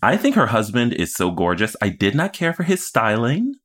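A man's voice: mid-range voice, wildly swooping pitch, normal speed, a bit bright. A man co-hosting a casual TV recap podcast, talking in an opinionated, emphatic and playful way. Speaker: podcast co-host.

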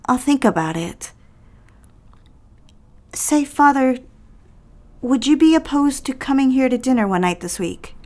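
A woman's voice: high voice, lively intonation, unhurried, long pauses, darkish tone. A woman playing a lovelorn, petulant young housewife and mother in a period audio drama, pleading and wistful with old-fashioned phrasing. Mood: earnest.

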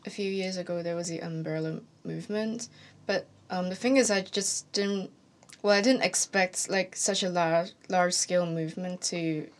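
A woman from Hong Kong, a university student, speaking hesitantly with many fillers in a roundtable discussion. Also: on the low side.

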